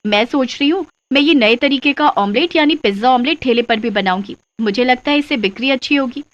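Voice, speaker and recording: A woman's voice: sits high, fairly quick, dull audio, close to an everyday voice. A woman playing a humble, earnest working mother in a cartoon story. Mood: practical. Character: humble, hardworking mother running a food cart. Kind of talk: Hindi moral-story cartoon voice acting.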